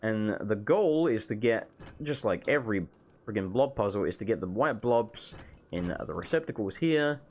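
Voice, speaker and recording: A man with a hoarse voice, losing it from being sick, rambling casually as he shows off his game mod. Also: fairly low pitch, animated, normal speed, darkish tone, highs cut off, slight background noise. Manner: rambling, self-correcting, casual swearing. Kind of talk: casual gameplay walkthrough. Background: faint game music.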